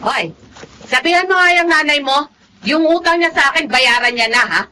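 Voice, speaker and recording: A woman's voice: lively intonation, normal speed, pushed and bright, slight background noise. An actress playing a hot-tempered Filipino woman storekeeper who nags and scolds in radio drama style. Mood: angry, scolding. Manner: nagging, shrill, exclamatory scolding. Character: scolding, hot-tempered female neighborhood storekeeper demanding debts.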